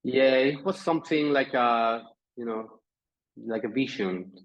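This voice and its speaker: A man's voice: medium pitch, a little slow, dull audio. A Spanish man with a Spanish accent, a guest on a podcast, talking casually and hesitantly as he searches for words. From Spain, Andalusia. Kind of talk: casual interview chat. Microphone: remote call.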